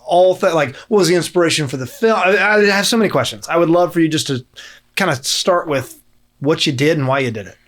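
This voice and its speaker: A man's voice: fairly high pitch, fairly quick, a bit bright. A man hosting a casual podcast conversation, joking and laughing easily with his guests. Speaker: podcast host. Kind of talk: casual chat.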